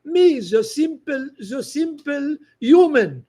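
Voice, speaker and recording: A man's voice: extremely high pitch, very animated, slow pace, dark tone. A man from northern Lebanon with a Lebanese accent, giving a political monologue in broken English with some French phrases.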